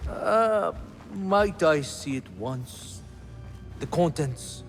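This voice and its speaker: An actor playing a courteous but uneasy Khalifa in a game cutscene, speaking formally. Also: male voice, on the high side, animated, very slow, music in the background, heavily acted. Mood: nervous deference. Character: anxious courteous Khalifa, regal but deferential ruler. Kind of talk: video game cutscene acting.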